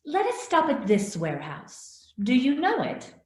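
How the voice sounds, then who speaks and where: Radio play spirit, female actor: measured, knowing, melodic swings, probing softly, set in a reverberant space. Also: high voice, wildly swooping pitch, full character voice. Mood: solemn. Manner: measured, solemn, gently questioning. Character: calm, probing ghostly spirit guide of Christmas past. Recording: roomy, echoing voice.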